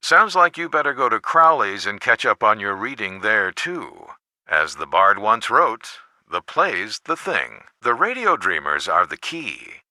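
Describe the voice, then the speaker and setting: An actor playing a helpful, folksy adult mentor who coaches the player with knowing, encouraging hints in a video game. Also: male voice, fairly low pitch, sing-song, measured pace, bright, forceful tone, no echo, exaggerated voice. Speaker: hint giver in an adventure game. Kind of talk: scripted video game voice acting.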